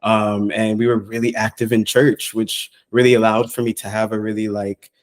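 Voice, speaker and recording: A man in his thirties, raised in Southern California, chatting in an upbeat, lively way and laughing often. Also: on the low side, normal speed. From Costa Rica, raised in Southern California. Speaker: podcast guest and consultant. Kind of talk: casual chat.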